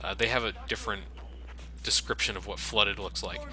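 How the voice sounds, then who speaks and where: Very bright, sardonic male game commentator. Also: on the low side, steady tone, fairly quick. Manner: sardonic, swearing. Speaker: video game let's play commentator.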